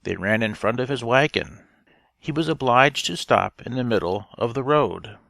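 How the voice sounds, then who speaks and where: A man reading a storybook narration aloud in a measured, deliberate manner. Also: mid-range voice, lively intonation, gravelly, a bit bright, no room sound, studio quality. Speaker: audiobook reader.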